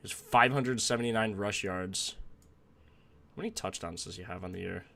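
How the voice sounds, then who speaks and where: A young man with a low voice, a touch raspy from a sore throat, hosts a relaxed live stream at his desk. He talks casually and thinks out loud, now and then leaning away from the mic. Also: normal speed. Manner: chatty, thinks aloud, greets chat viewers. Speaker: sports betting stream host.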